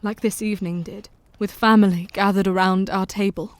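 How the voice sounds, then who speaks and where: An actress playing a composed woman who looks back calmly, narrating in an audio drama. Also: mid-range voice, a little hiss or hum, lightly acted. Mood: reflective. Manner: calm, measured narration. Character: composed grown woman, reflective survivor.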